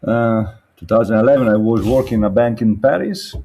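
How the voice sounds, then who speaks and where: A man's voice: medium pitch, brisk, mellow. A man with an Italian accent, a winemaker answering interview questions in a hesitant way, with frequent ums and uhs. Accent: Italian, slightly mixed. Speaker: winemaker guest.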